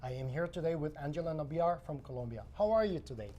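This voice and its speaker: A man's voice: on the high side, animated, fast, mellow, a little hiss or hum. A man hosting an interview show, asking curious questions in a chatty way and often restarting his sentences. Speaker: host. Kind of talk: interview host.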